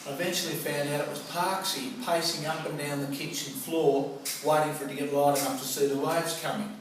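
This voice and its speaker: A man's voice: a little high, steady tone, a little fast. Australian man storytelling in an echoey space. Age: middle-aged. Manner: colloquial, theatrical, acts out remembered dialogue. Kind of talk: animated personal anecdote storytelling. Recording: roomy, echoing, noisy distant microphone.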